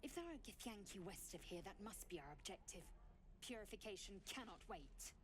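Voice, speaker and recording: A woman's voice: medium pitch, expressive, brisk, slight background noise, natural voice. A woman voicing a stern, haughty alien warrior in a video game, speaking in clipped, formal, imperious phrases. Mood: haughty resolve. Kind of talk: video game character acting.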